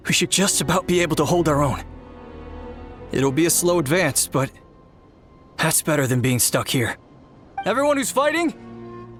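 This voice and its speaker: A man voicing a high-voiced, weary game guard. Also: a bit bright, natural voice. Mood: firm, resolved. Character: weary, grim male guard, sole survivor. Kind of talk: dramatic video game acting. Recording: game music behind the voice. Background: video game music.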